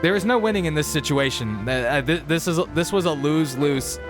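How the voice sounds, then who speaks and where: A man's voice: on the high side, animated, fairly quick. A man doing casual, rambling, self-deprecating reaction commentary as a game streamer. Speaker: game streamer, let's-play host. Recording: game music playing behind.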